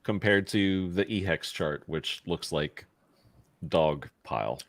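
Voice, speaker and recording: A man's voice: low-pitched, slow, no echo, clean studio sound. A man hosting a casual crypto livestream, joking with his guests and wrapping the show up. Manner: laid-back, joking, steering the wrap-up. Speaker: show host. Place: home setup, smoky wildfire haze outside.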